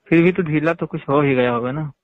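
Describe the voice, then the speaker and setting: A man's voice: fairly high pitch, fairly quick, dry recording. A man talks over a narrow, tinny phone line. He is casual and insistent, circling back with repeated questions. The sound is dry and close, with no room around it. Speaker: phone caller.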